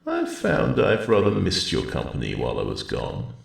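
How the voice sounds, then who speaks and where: An ancient, wise dragon speaks in an extremely deep, heavily creaking rumble. His delivery is slow, measured and gently formal, kindly and a little weary, as in an intimate ASMR roleplay, with a roomy echo around the voice. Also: huge pitch swings, full character voice. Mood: warm, welcoming. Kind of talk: ASMR roleplay audio drama, spoken to the listener.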